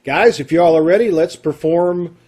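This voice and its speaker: A man with a somewhat high voice hosts a community troupe's online show. He talks in a chatty, folksy way, addressing viewers and guests casually over a video call. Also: moderate pace, heavy background noise. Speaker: host of an amateur radio theatre troupe's online show. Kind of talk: casual welcoming chat to an online audience.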